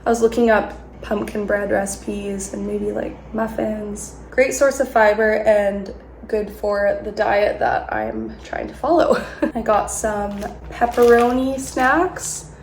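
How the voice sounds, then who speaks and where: A woman's voice: mid-range voice, expressive, slow pace. A congested young woman chats to her viewers at home, friendly and easygoing. Her voice bounces around a roomy, echoey space, with a faint hiss underneath. She sounds like she is still shaking off a cold. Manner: chatty, friendly, talking to viewers. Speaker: vlogger. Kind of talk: casual haul vlog talk.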